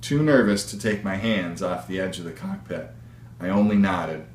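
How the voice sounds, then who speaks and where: A man reading a story aloud as its author, in a measured, expressive storytelling manner. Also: low-pitched. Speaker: author reading his own book. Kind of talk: read-aloud story narration. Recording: echoey room with faint hum.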